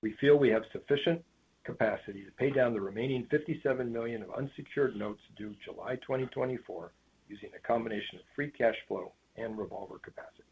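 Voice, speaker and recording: A tinny phone connection with faint noise carries a man's mid-pitched voice. He is a finance executive formally reading a prepared earnings presentation. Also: quick pace. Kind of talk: scripted earnings call presentation.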